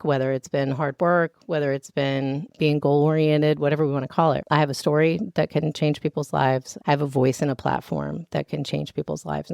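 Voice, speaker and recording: A woman's voice: deep voice, gravelly, dry recording, clean studio sound. A woman in her fifties, a company founder, answers interview questions in an earnest, reflective way and leans hard on the points she wants to make. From Texas.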